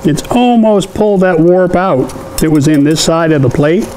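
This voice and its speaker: Male host, fifties, slightly high voice, folksy casual how-to delivery, light shop noise behind. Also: normal speed, dark tone, low-quality recording. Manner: folksy, conversational. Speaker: artist and welding tips host. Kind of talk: casual hands-on tutorial. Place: indoor cluttered metal workshop.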